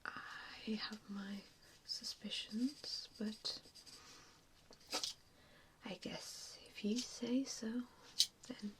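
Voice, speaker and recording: Slow whispering woman, ASMR roleplay. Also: medium pitch, fairly even intonation, low-quality recording. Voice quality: breathy whisper, mostly unvoiced. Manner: slow, hushed delivery with frequent pauses. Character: vampire assessor, a wistful former hunter, gently probing.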